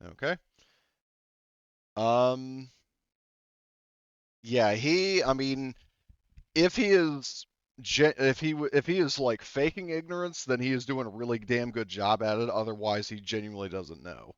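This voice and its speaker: A man's voice: medium pitch, unhurried, long pauses, smooth, no room sound, muffled recording. A man running a tabletop game as game master, rambling and thinking aloud with many fillers.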